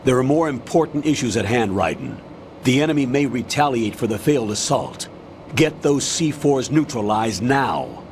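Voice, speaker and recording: Commanding colonel, mid-range voice, firm, formal, authoritative briefing, video game drama, faint background noise. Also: male voice, medium pitch, sing-song, normal speed. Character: stern military colonel, firm and brisk commander.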